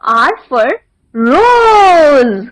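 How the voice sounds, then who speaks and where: A woman narrating a children's alphabet lesson in a deliberate sing-song manner, enunciating each word. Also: high voice, big pitch swings, slight background noise.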